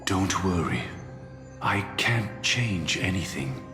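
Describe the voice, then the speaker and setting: An actor playing a solemn, brooding man in a fantasy video game, speaking with grave, deliberate theatrical delivery. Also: low voice, expressive, pushed and bright, exaggerated voice. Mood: calm, serious. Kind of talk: dramatic video game voice acting. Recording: echoey hall reverb, music behind.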